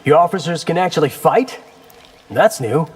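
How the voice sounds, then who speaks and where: A man's voice: on the high side, animated, normal speed, noisy background, lightly acted. A man playing a young ninja warrior in a fighting game, wry, cocky and casual. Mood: wry, determined. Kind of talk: fighting game character acting.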